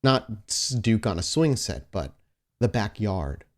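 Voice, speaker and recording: A man narrating a tabletop roleplaying game as game master, dramatic, with deliberate pauses. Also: lowish voice, very animated. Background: faint music.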